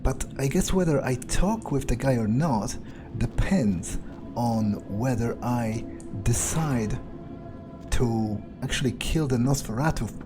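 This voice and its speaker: A man's voice: mid-range voice, lively intonation, measured pace, over music. A man doing in-character game commentary, thinking aloud in a musing, hesitant, casually sweary way. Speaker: video game player narrating in character.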